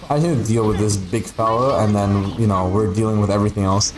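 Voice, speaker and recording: A man's voice: on the low side, fairly even intonation, brisk. A man whose first language is Spanish, giving casual, rambling gaming livestream commentary with playful, sweary grumbling. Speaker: game streamer.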